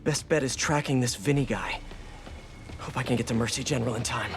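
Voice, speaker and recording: A man's voice: medium pitch, fairly quick, close to an everyday voice. A man voicing an earnest, wisecracking young American masked hero in a video game, muttering quips to himself in a naturalistic way. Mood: determined. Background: music.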